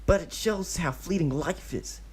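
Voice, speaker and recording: A man reading aloud and voicing a boastful, excitable twelve-year-old boy ninja who keeps tacking on "yeah" and "hmm?". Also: a little high, measured pace, slight background noise, voice pushed up, lightly acted. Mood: excited boast. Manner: boastful, eager, ends lines with "yeah" or "hmm?".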